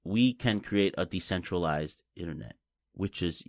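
A man, raised in New after being born in Taiwan. He talks as an interview guest, explaining simply and haltingly, often repeating words as he goes. The sound is dry and close. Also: low voice, gravelly, a little mellow, no room sound. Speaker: guest, blockchain company representative. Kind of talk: casual interview chat.

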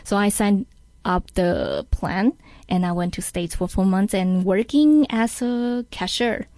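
Slow-paced Taiwanese-accented woman, interview guest. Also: medium pitch, very animated, a little mellow, no echo. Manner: halting non-native English. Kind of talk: casual interview chat.

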